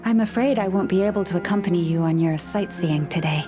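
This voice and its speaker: A woman voicing a calm, courteous librarian. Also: lowish voice, fast, close to an everyday voice. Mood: patient. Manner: calm, polite, softly formal. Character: gentle, courteous woman librarian and relic keeper who talks to animals. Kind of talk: video game voice acting. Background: game background music.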